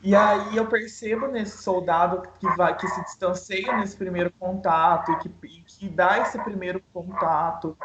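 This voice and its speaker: A woman's voice: lowish voice, steady tone, normal speed, hardly any pauses. A woman in an online book club, talking through a short story in a thoughtful, analytical way with frequent restarts. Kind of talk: casual literary discussion. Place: home, online video call. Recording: echoey room with noise.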